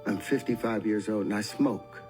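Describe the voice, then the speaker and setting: A man in his fifties answers an interviewer's questions. His voice sits a little low and he speaks candidly, with a few hesitations. Clear music runs behind him. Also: fairly even intonation, normal speed. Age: 50s.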